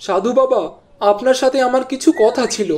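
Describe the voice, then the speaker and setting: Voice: medium pitch, brisk, slight background noise, natural voice. A performer playing a bold, determined young man in a horror cartoon, speaking insistently and earnestly. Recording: loud music over the voice.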